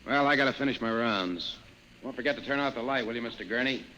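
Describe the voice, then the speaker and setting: A man's voice: mid-range voice, quick pace, pushed and bright, close to an everyday voice. A man acting a friendly, plain-spoken night security guard in a 1950s radio drama, casual and offhand. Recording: dull, echoey, noisy old radio recording.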